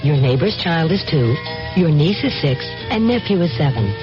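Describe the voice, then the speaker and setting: A man's voice: on the high side, sing-song, normal speed, over music. A man reading a scripted public service announcement on the radio. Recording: muffled old radio recording.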